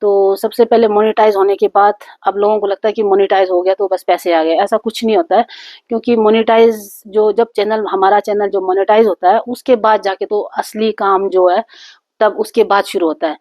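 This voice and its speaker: A woman's voice: mid-range voice, fairly even intonation, moderate pace, a little mellow, no echo. A woman vlogging casually about her channel, with a sore throat from a cold, rambling with many fillers and restarts. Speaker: YouTube vlogger. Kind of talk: casual chat to camera. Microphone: phone.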